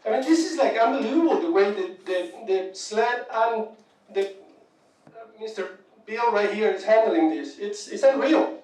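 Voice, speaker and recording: A man's voice: sits high, animated, darkish tone. Upset Argentine man in an echoey courtroom. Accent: Argentine Spanish. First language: Spanish. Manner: halting, upset, repeats words. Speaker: crime victim addressing court. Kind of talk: impassioned victim statement. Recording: echoey courtroom audio from a distant mic.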